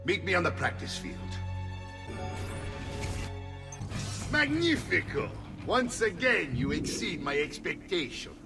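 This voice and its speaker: Gruff mercenary leader for a game, middle-aged, authoritative, expressive mid-pitched delivery in a big reverberant space. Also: male voice, normal speed. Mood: encouraging. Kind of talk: cinematic video game acting. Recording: long reverb, music behind.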